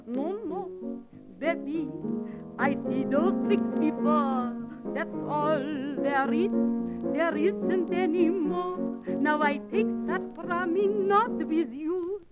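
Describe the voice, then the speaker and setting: High-voiced flirty French lady-in-waiting. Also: slow pace, loud music behind the voice, rough recording. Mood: playful, flirty. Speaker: comedy sketch performer and singer. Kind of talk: 1930s musical-comedy radio acting. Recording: 1930s radio transcription disc.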